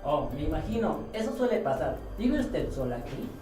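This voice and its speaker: A woman's voice: low-pitched, sing-song, moderate pace, warm tone. A woman playing a courteous, probing police officer in a radio drama, formal and questioning. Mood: polite, probing. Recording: roomy, distant sound with clear music.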